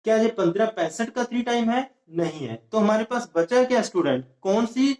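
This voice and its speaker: A man's voice: high-pitched, lively intonation, fairly quick, a little mellow. A man teaching an exam-prep math lesson, repeating each step and checking whether students follow. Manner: repeats steps and keeps asking students if it is clear. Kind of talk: whiteboard lesson explaining age problems. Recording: echoey room.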